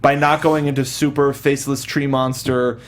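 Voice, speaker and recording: A man's voice: medium pitch, level tone, normal speed. A man co-hosting a casual movie review chat in a joking, sarcastic, sometimes profane way. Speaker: podcast co-host.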